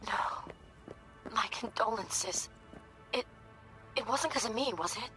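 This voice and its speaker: A businesslike corporate woman in a video game, uneasy under the surface. Her voice is fairly high, her delivery clipped and evasive, with music clearly behind it. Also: expressive, slow pace. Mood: shaken, uneasy. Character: guarded, uneasy female corporate professional, curt and businesslike. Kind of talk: naturalistic video game voice acting.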